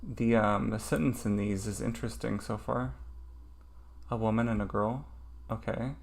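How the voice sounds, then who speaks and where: Lower-voiced man studying aloud on stream. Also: fairly even intonation, moderate pace, gravelly. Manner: thinking aloud, hesitant with many ums. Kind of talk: casual livestream study session.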